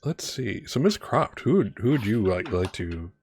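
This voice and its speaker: A man's voice: lowish voice, sing-song, normal speed, darkish tone, husky, no echo, clean studio sound. A man narrating a tabletop role-playing game as game master, conversational and rambling, often correcting himself.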